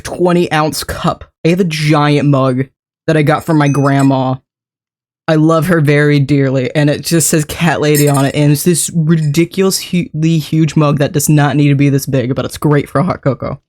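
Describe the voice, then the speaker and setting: A game streamer chatting casually with a live audience while a little sick, voice deeper than usual, rambling and laughing often as they greet and thank viewers. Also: fairly even intonation, moderate pace, darkish tone.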